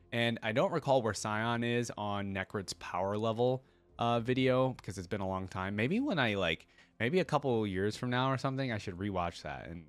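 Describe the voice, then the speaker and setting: A man's voice: lowish voice, no room sound. A man giving casual reaction commentary as a video host, thinking aloud in a conversational way.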